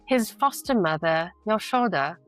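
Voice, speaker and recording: Low-pitched woman reading aloud, music behind. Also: animated, measured pace, fairly bright tone. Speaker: teacher reading a novel aloud. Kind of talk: expressive read-aloud with brief explanations.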